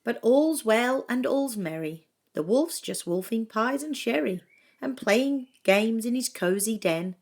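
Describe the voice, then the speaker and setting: A woman reading a children's picture book aloud in an expressive way, with dramatic pauses and emphasis. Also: fairly high pitch. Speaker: storybook reader.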